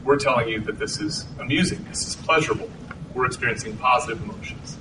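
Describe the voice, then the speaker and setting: A middle-aged man giving a conversational lecture to an audience, with frequent restarts. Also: quick pace. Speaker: researcher giving a talk. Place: hall with an audience. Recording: echoey hall, distant mic, rumbling noise.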